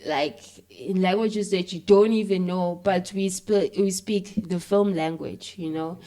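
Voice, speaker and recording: A woman with a South African accent talking casually as an interview guest, animated and peppering her speech with "you know". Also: on the low side, measured pace.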